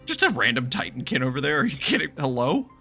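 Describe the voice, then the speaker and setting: A man giving casual, excitable, sweary reaction commentary while playing a game. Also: mid-range voice, fast talker, vocal fry, soft background music.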